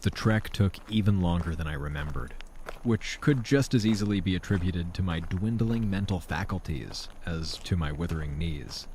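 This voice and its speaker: An actor playing a weary, regretful aging man, narrating his own story in a measured, reflective way for a fiction podcast. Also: low-pitched, a little mellow, a little hiss or hum, natural voice. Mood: wry, weary. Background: music.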